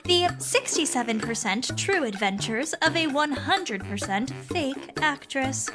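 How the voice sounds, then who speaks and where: An actress playing a self-absorbed, disgraced Hollywood actress with theatrical, drawn-out emphasis in a comic audio drama. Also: female voice, high-pitched, lively intonation, music in the background. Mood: theatrical self-pity.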